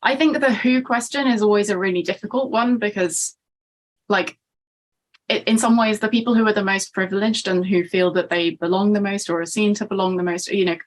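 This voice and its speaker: A woman's voice: medium pitch, steady tone, fairly quick. A woman researcher answering questions after her talk at an online seminar. Her mid-range voice has a reflective, conversational manner. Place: online seminar, video call.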